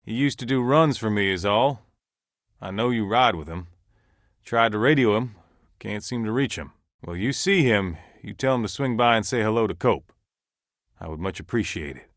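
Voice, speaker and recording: A low-voiced leader of a survivor camp in a video game. He is folksy and commanding, with lively swings of pitch and plain, naturalistic delivery. The sound is close and dry. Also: normal speed, dry recording. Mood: sincere, subdued.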